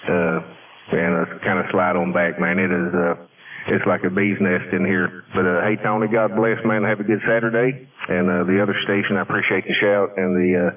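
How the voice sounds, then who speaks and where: A man's voice: deep voice, little pitch movement, fairly quick, slightly rough, phone-quality sound. A man chatting casually over two-way radio in folksy, courteous radio slang. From Tennessee, United States. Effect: two-way radio transmission. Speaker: CB radio operator. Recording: thin, distant CB radio signal.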